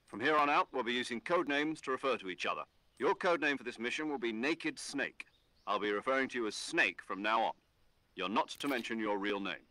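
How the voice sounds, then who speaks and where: A man playing a methodical military mission commander in a video game, speaking with deliberate authority. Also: medium pitch, lively intonation, sharp tone. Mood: measured, instructive. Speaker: commanding officer giving briefing.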